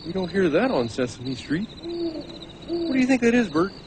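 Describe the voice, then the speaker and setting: High-pitched, eager puppet pal. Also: male voice, wildly swooping pitch, moderate pace, very noisy. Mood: eager, curious. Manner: eager, playful, inquisitive. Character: chatty, eager, pestering puppet boy camper. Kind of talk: puppet comedy sketch.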